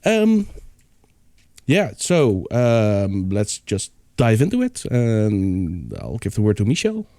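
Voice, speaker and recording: A man's voice: on the low side, huge pitch swings, slow pace, warm tone, dry recording, clean studio sound. A man hosting a tabletop game livestream, chatty and upbeat, still recovering from a cold. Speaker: host and game master. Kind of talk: casual livestream intro.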